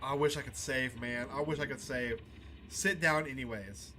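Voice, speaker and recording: A man hosting a gaming video, reading game dialogue aloud and musing between lines in a halting, casual way. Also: mid-range voice, bright, forceful tone. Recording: clear background noise under the voice.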